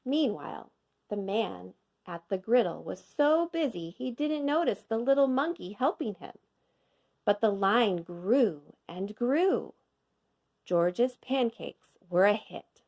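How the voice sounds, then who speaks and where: A woman reading a children's storybook aloud as a teacher would, with expressive, measured storytelling for young children. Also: mid-range voice. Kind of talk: scripted children's storybook narration.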